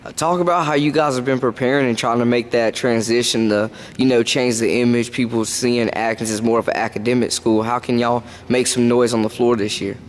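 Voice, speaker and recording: A man interviewing high school athletes, asking long, loosely built questions. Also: fairly even intonation, a little fast, few pauses, slight background noise.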